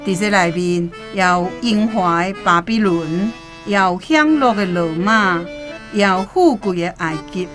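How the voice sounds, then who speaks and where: A woman's voice: medium pitch, measured pace, over music, natural voice. A woman playing a sly old roadside guide who coaxes and persuades, in a Taiwanese radio drama. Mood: enticing. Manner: coaxing, persuasive.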